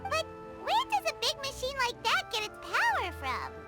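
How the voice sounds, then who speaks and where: A woman's voice: very high voice, wildly swooping pitch, normal speed, over loud music, full character voice. A woman voicing a tiny, chirpy, childlike fairy companion in a video game. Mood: curious, amazed. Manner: refers to self in third person.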